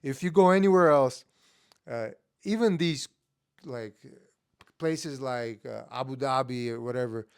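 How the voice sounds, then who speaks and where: A young man born in Estonia whose first language is Armenian, casually telling stories in an interview with a relaxed, filler-heavy manner. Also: medium pitch, measured pace, clear, resonant tone. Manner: relaxed, many fillers, acts out his younger self with rising excitement. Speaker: guest, MMA fighter.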